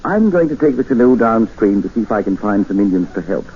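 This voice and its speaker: An actor playing a calm, matter-of-fact doctor with a British accent in a 1950s radio drama. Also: male voice, lowish voice, fast. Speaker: doctor on an expedition. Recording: muffled 1950s radio broadcast with hiss.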